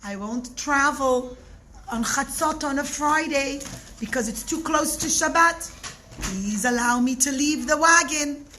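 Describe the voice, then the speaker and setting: A woman voicing a gentle but firm male rabbi who calmly pleads with his captors in a story for children. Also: high-pitched, slight background noise. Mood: pleading. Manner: calm, pleading, slow.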